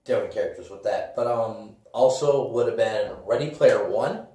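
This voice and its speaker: A man's voice: fairly low pitch, normal speed, dark tone. A man chatting casually about games and movies as a podcast co-host, in a rambling, loosely phrased way. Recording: echoey room, distant from the mic.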